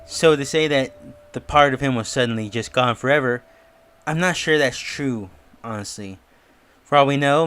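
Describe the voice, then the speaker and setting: A man's voice: mid-range voice, a bit bright, clear, resonant tone. A man giving a casual review and theory commentary in a musing, speculative way. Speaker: YouTube reviewer. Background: music.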